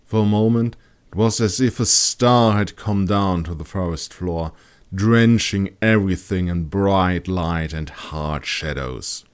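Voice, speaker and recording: A man reading scripted fantasy audiobook narration in a dramatic, deliberate storytelling manner. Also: low-pitched, clear, resonant tone.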